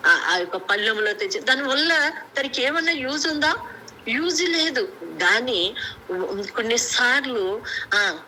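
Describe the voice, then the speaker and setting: A woman gives an extemporaneous Bible teaching over a noisy conference call, halting and repeating herself with rhetorical questions. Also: on the high side, sharp tone. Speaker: lay preacher. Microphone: conference phone call.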